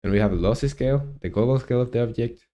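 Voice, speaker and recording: A man in his twenties with a Chilean Spanish accent, talking through his coding on a live stream, halting and thinking aloud with many fillers. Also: medium pitch, dark tone. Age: 20s. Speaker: game developer streamer.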